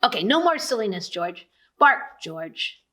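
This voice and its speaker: A woman voicing a worried mother dog in a storybook, exclaiming in a high sing-song way. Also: sing-song, a little slow, pushed and bright. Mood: exasperated.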